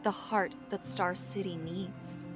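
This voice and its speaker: A woman's voice: mid-range voice, steady tone, takes it slowly, music in the background, close to an everyday voice. An actress playing an idealistic young woman in an audio drama, earnest and tender with a teasing streak. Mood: hopeful, earnest. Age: young adult. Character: idealistic, playful young woman, hopeful and affectionate.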